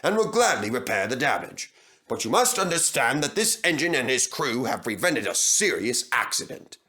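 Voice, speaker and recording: A man as a dignified, clear-cut gentleman boss. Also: mid-range voice, sing-song. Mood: grave. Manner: measured, formal, impressive. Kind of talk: storybook fandub character voice.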